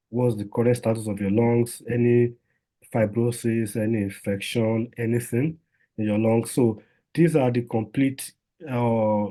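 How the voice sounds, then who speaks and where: A man's voice: mid-range voice, mellow. A man, a doctor, giving an informal health talk in a conversational way, with lots of fillers and restarts.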